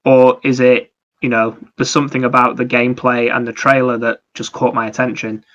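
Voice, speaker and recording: Male podcast host, bright edge to an average-pitched voice, casual and explanatory, dull microphone sound. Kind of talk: casual chat about video games.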